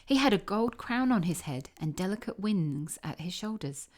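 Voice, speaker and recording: A woman narrating a children's fairy tale from a script in a measured, expressive storytelling manner. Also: medium pitch. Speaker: audiobook narrator.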